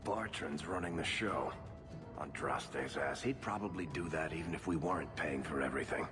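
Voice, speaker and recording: An actor playing a roguish dwarf adventurer in a video game, chatty, wry and sardonic. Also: male voice, rumbling low, moderate pace, over music. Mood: amused, wry. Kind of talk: video game character acting.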